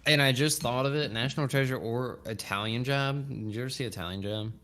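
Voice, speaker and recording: A man's voice: mid-range voice, brisk, fairly bright tone, faint music behind. A man in his thirties chatting casually on a livestream, rambling and laughing easily. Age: 30s. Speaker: co-host.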